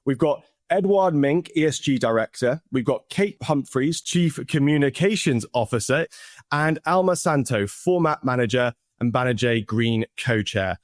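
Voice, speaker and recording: A man's voice: mid-range voice, normal speed, no echo, studio quality. Effusive male podcast host. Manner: effusive, with repeated words and restarts. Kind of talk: enthusiastic interview hosting.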